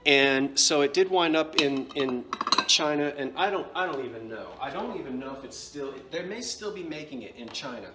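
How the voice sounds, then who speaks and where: A man's voice: on the high side, lively intonation, normal speed, background noise. A man with a New Jersey accent, casually showing and describing antique dishes in a chatty, self-correcting way. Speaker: antiques seller. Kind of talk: casual show-and-tell vlog.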